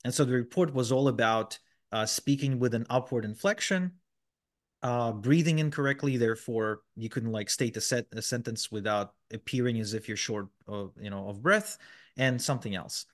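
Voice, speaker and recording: Male guest, mid-pitched, chatty explainer, loose delivery full of ums and restarts. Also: moderate pace. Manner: explanatory, many fillers and restarts. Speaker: podcast guest. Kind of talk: conversational interview answers.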